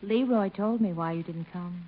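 A woman's voice: lowish voice, normal speed, close to an everyday voice. An American actress playing a flirtatious, eager lady friend in a 1950s radio comedy, with a coy, coaxing manner. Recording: muffled, hissy old radio recording.

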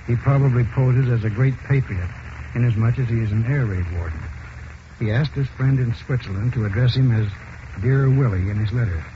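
An actor playing a skeptical FBI agent in a 1940s radio drama, clipped and matter-of-fact. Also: male voice, medium pitch, fairly quick, lightly acted. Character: dry skeptical male FBI colleague. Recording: muffled 1940s radio recording, faint noise.

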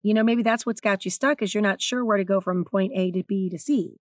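A woman with a naturally raspy, slightly strained voice, hosting her own podcast in a candid, chatty way. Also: mid-range voice, a little fast, no room sound, studio quality. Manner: candid, chatty, self-deprecating. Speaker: podcast host and author. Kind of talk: solo podcast talk.

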